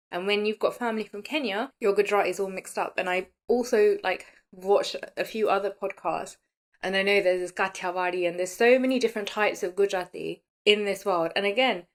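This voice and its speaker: A woman in her late thirties talking casually and candidly as the host of a personal podcast, in a chatty, conversational way. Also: mid-range voice. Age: late 30s.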